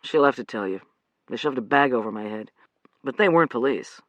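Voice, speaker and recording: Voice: mid-range voice, animated, fairly quick, a bit bright, dry recording, dull audio, natural voice. A reader doing a dry, tough man. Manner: dry, wry.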